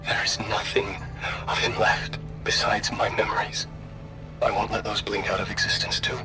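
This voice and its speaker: A man's voice: fairly low pitch, little pitch movement, normal speed, natural voice. A man playing a secretive, grieving man confiding in an audio log for a game, brooding, with music behind. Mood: grieving, resolute.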